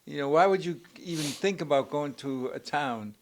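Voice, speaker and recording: A man's voice: on the high side, moderate pace. A man whose first language is Portuguese, hosting a casual interview in an easygoing, conversational way. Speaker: show host.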